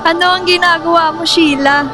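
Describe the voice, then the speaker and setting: A woman's voice: extremely high pitch, fairly even intonation, brisk. A woman playing a demanding, scolding mother in an amateur radio drama.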